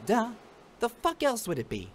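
An actor playing a brash, foul-mouthed, sardonic demon gangster in a comic dub. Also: male voice, very high-pitched, huge pitch swings, moderate pace, a little hiss or hum, heavily acted. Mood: sneering, annoyed. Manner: sneering, slangy, swaggering. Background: music.

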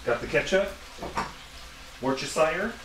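A man's voice: a little high, animated, a little slow. A man casually narrating his home cooking in short, playful, offhand phrases. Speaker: home cooking vlog host. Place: home kitchen, indoors. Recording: roomy, distant mic, loud kitchen noise. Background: frying, dishes and cutlery clatter.

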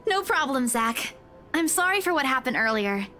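A woman's voice: sits high, very animated, normal speed, bright, forceful tone, slight background noise, heavily acted. An actress voicing a timid, anxious young woman in a visual novel game, hesitant and apologetic.

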